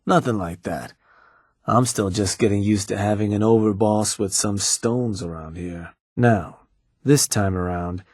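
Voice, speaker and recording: A seasoned raider advisor, husky, lowish voice, folksy drawl, dry studio sound. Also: male voice, a little mellow, no room sound. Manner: easygoing, folksy, measured drawl. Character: calm veteran male raider advisor. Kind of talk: game voice acting.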